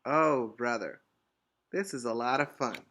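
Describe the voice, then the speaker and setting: Man as preschool teacher reading to little ones: slow, emphatic, bright delivery, stops to explain and ask questions. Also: medium pitch, slight background noise. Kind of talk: expressive storybook read-aloud with asides to children.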